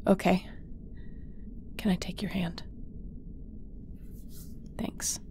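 A woman playing a caring, loyal spaceship captain in a sci-fi audio roleplay, creaky and conversational, with a tender, reassuring manner. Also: on the low side, flat delivery, drawn-out words, in a whisper, exaggerated voice. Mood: anxious, relieved. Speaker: voice actor.

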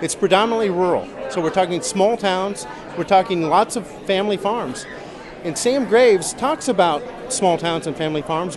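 A man in his forties, a political candidate, speaks with a fairly high voice, earnest and halting, stumbling over repeated words. He is interviewed in a large indoor convention hall. A busy crowd murmurs all around him. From Missouri. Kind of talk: on-the-spot interview. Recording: busy crowd noise behind. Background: crowd chatter.

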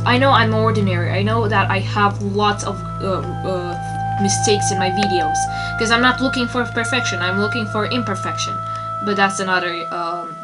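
A woman's voice: mid-range voice, low-quality recording. A young woman answers viewer comments in a casual, rambling vlog, sounding exasperated and full of fillers. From Romania. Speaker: toy video maker answering comments.

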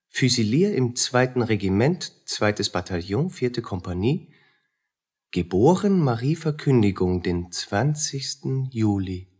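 Brooding soldier, thirties, husky, slow, halting, fatalistic, radio play. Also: male voice, mid-range voice, darkish tone, exaggerated voice. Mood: flat, resigned. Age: 30s. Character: haunted, brooding thirty-year-old soldier, poor and fatalistic.

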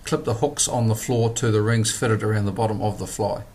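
Low-voiced New man explaining gear. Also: fairly even intonation, darkish tone, a little hiss or hum. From New Zealand. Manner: measured, plain instructional delivery. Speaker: product presenter. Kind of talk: scripted product voice-over.

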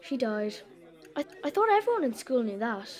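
A woman's voice: fairly high pitch, sing-song, brisk, a little mellow. An actress playing a sullen, grieving schoolgirl in a children's audio drama. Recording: faint party crowd behind.

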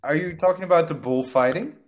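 A young man tutoring English over a video call on a headset in a small room, friendly and slow, laughing often. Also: fairly high pitch. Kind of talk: casual video-call lesson.

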